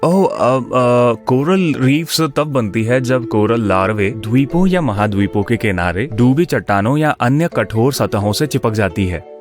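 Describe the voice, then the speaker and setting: A man voicing a friendly, laid-back young student in an animated story, with a casual way of talking. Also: medium pitch, a little slow, natural voice. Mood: matter-of-fact. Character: easygoing, friendly young male student, mildly teasing. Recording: music bed under the voice.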